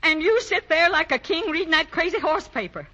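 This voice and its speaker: A woman's voice: very high-pitched, animated, brisk, sharp tone, exaggerated voice. An actress playing a fretful, middle-aged mother who fusses and scolds in 1940s radio style. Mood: exasperated scolding. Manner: fussing, exasperated. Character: fretful, scolding, loving mother of grown sons. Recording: muffled old 1940s radio recording.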